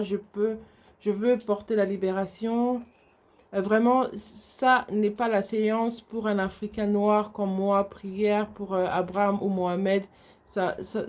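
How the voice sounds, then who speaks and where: A woman's voice: mid-range voice, a little mellow. Woman moderating, reading viewer comments. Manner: plain, measured questioning with fillers. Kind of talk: live stream moderation.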